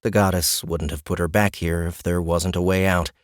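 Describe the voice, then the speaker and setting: A man narrating a scripted fantasy audiobook in an expressive storytelling manner. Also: low voice, normal speed, no echo, studio quality. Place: dry recording booth.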